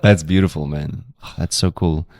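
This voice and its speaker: A man's voice: low voice, steady tone, moderate pace, a little mellow, no room sound, clean studio sound. A man from South Africa hosting a podcast, easygoing and encouraging, often murmuring agreement. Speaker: podcast host. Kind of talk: casual podcast interview.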